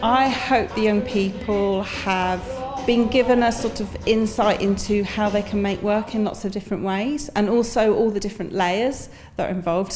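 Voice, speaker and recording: Woman speaking over clear music. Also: medium pitch. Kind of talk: interview reflection.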